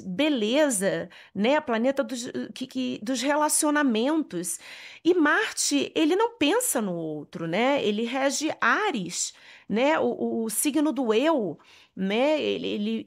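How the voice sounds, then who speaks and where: Brazilian-accented woman, casual explainer, restarts and english words mixed in, fairly high voice. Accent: Brazilian Portuguese. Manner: frequent "né?" tags, restarts, mixes English words. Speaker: astrologer.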